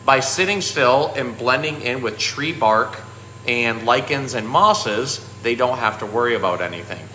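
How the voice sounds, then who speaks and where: Man, thirties, casual animal educator and storyteller. Bright, conversational delivery, echoey room. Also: mid-range voice, measured pace. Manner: conversational, explanatory. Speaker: zookeeper and pet store presenter. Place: small indoor room with painted aquarium backdrop. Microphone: phone at arm's length. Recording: echoey room, faint background noise.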